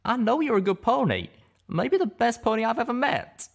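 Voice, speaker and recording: A man's voice: very high-pitched, big pitch swings, moderate pace, vocal fry, pitched above the performer's own voice, full character voice. A man voicing an earnest, protective male pegasus with folksy, drawling speech in an audiobook. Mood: heartfelt. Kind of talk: audiobook character voice.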